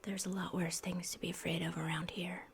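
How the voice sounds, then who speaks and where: A woman playing a paranoid, unhinged asylum patient in a horror ASMR roleplay, secretive and halting, obsessively repeating her words. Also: fairly low pitch, flat delivery, creaky, a little hiss or hum. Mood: secretive, eerie.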